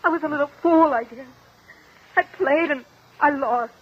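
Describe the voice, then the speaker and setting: A woman's voice: sits high, moderate pace, natural voice. An actress playing a defiant, spoiled young niece in her twenties in a 1950 radio drama. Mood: anxious, sulky. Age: 20s. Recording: muffled, noisy vintage 1950 radio recording.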